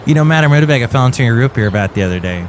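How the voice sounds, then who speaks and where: A man's voice: mid-range voice, racing, a little hiss or hum. Rambling man hosting a comedy podcast. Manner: rambling, jokey, deadpan asides. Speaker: podcast host.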